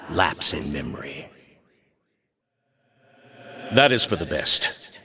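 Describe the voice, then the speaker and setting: A man playing a stern, zealous high priest and inquisitor in a video game, speaking in a measured, formal, quietly menacing way. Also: exaggerated voice. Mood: quietly threatening. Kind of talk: dramatic video game character dialogue.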